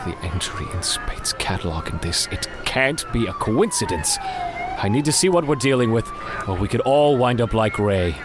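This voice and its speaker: A man playing a serious, precise scientist ghost hunter in an audio drama, speaking formally and gravely. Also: medium pitch, huge pitch swings, normal speed, noisy background. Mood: urgent.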